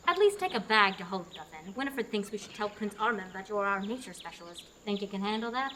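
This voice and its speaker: A woman's voice: mid-range voice, expressive, fast, pushed and bright, slight background noise. A young woman in a student radio drama, playing an earnest heroine who has been given a quest. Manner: earnest, exasperated at times.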